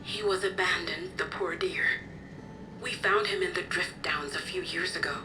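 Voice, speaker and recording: An actress playing a kindly, motherly guardian in a video game cutscene, explaining things in a gentle storytelling way. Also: lowish voice, normal speed, over music, lightly acted, poor audio. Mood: sad, caring. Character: kindly motherly woman, caring guardian of an orphaned boy.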